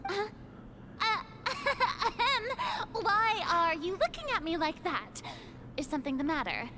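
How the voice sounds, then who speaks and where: High-pitched, theatrical young female Archon. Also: big pitch swings, unhurried, pushed and bright, slight background noise, heavily acted. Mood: weary, guarded. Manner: haughty, faltering, stammering nervously. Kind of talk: video game voice acting. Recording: music behind the voice.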